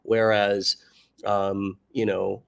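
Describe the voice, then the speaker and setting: This American man speaks in a fairly low voice as the host of a motivational interview show. He talks reflectively and haltingly, restarting his sentences and searching for words as he goes. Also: flat delivery, gravelly. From United States. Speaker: podcast host.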